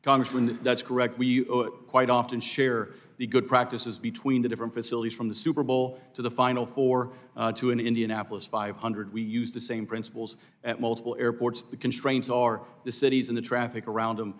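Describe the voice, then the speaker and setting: An American man answering as an expert witness at a hearing, in a formal, deliberate and earnest way. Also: mid-range voice, little pitch movement. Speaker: expert witness for air traffic controllers. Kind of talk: formal testimony.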